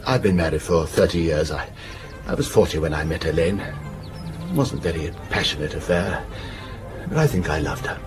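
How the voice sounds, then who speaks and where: An actor playing an anxious, asthmatic businessman in his fifties in a radio drama, halting, earnest and short of breath. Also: a bass voice, lively intonation. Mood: confessional, earnest. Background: music.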